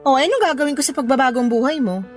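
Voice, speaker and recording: A woman's voice: sits high, lively intonation, rapid-fire, faint music behind. An actress playing a fed-up, scornful woman in a Filipino radio drama, scolding an ex-partner in exasperation. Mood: scornful, bitter.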